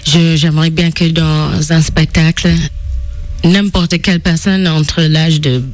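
A woman from Trinidad and Tobago, a singer and radio guest, chatting in a relaxed way, stopping to search for words and laughing easily. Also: low-pitched, takes it slowly, no room sound. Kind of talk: relaxed interview chat.

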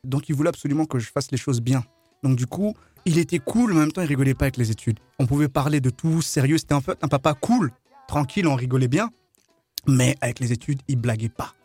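A man's voice: mid-range voice, expressive, fast, a little mellow, breathy edge, dry recording, faint music behind. A man from Kinshasa who grew up in France, telling humorous anecdotes in casual conversation in a playful, jokey way. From Kinshasa, DR Congo; grew up in France. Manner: playful, jokey storyteller, often laughing.

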